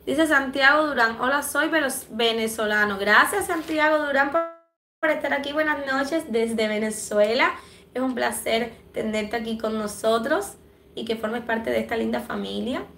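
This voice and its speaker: A woman's voice: fairly high pitch, normal speed, bright, forceful tone, roomy sound, faint music behind. A young woman from Cuba and the Dominican Republic, chatting affectionately on a livestream as she reads viewer comments aloud, a little stuffy from allergies. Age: young adult. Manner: affectionate, chatty, laughs often. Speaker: livestream host. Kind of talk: live chat reading viewer comments. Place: small room indoors. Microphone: phone camera at arm's length.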